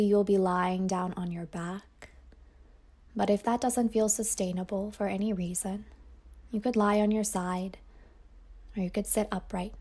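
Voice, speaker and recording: A woman teacher guiding a yoga nidra meditation in a slow, soft voice that often drops toward a whisper. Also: mid-range voice. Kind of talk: guided meditation.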